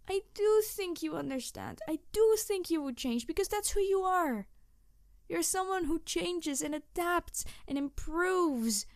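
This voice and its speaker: Woman voice actor as a snarky, bitter female supervillain with ideals; high voice, close dry sound, intense roleplay delivery. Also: no room sound. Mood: pained, earnest. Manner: snarky, intense, sighing, shifting from emphatic to hushed. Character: snarky, embittered, idealistic female supervillain confronting her nemesis. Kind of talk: ASMR audio roleplay monologue to the listener.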